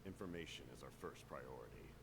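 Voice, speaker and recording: Stoic military commander, very deep voice, terse, authoritative, video game, noisy background. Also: male voice, a little fast. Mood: calm command. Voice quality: deep, gravelly. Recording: game audio with clear background noise.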